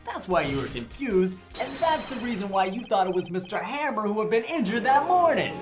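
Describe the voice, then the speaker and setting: A woman's voice: medium pitch, big pitch swings, fairly quick, over music, lightly acted. A woman voicing a cocky, wisecracking defense lawyer with a flippant, playful manner in a video game fandub. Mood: accusing.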